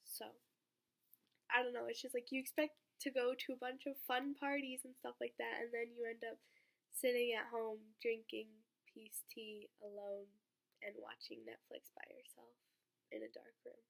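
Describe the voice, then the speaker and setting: Teen girl vlogger, under the weather, gravelly edge, chatty and rambling, slightly high. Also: normal speed, a bit bright, rough recording. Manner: rambling, lots of "like" and "um". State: sick, voice sounds off. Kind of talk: casual chat to camera.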